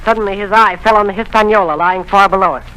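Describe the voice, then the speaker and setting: A man's voice: high-pitched, steady tone, fast talker, pushed and bright, smooth, faint music behind, poor audio. An actor playing an earnest young male cabin boy who narrates his own adventure in a 1930s radio drama. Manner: eager, storytelling narration. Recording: hissy old radio broadcast.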